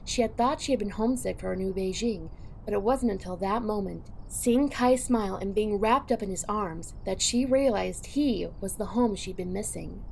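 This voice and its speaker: A woman's voice: medium pitch, normal speed, creaky, a little mellow, slight background noise, low-quality recording. A woman reading scripted third-person narration for an audio drama.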